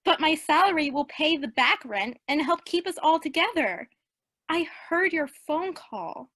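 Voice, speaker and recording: A woman's voice: high voice, animated, unhurried, a bit bright, no echo. A woman playing an earnest, helpful eldest teenage sister in a radio play.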